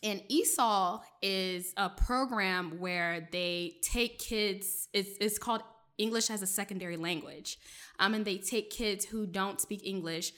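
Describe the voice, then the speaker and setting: A young woman from Maryland whose first language was French, a journalist telling stories from her childhood in a relaxed, chatty interview, full of fillers and laughs. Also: medium pitch, measured pace. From Maryland, USA. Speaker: journalist, guest. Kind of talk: casual interview storytelling.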